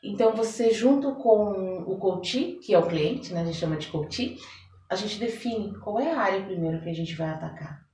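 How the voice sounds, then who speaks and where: A woman's voice: mid-range voice, lively intonation, moderate pace, mellow. A Brazilian woman talking as an interview guest, explaining her coaching work conversationally and often checking for agreement. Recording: roomy echo, distant from the mic.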